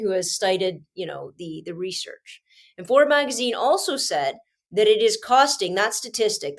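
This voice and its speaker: A Canadian woman, a teacher, hosting her own show, sounding congested with a cold and talking with passionate emphasis and frequent restarts. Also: medium pitch. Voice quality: screechy when agitated. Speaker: podcast host and teacher. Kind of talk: casual solo commentary.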